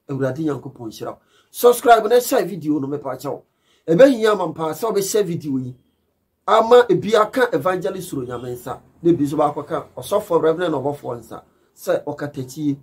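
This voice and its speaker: A man's voice: a little high, darkish tone, slightly rough. A man in his thirties with a Ghanaian accent, a preacher speaking straight to camera indoors in an emphatic, pleading, admonishing way. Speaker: preacher giving advice to camera. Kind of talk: direct-to-camera admonishing talk. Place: furnished living room indoors.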